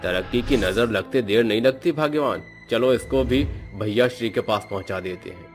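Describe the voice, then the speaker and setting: A man's voice: mid-range voice, normal speed, faint music behind, lightly acted. A man voicing a jealous, murderous younger brother-in-law in a cartoon horror story, taunting and sinister. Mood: scheming, gloating.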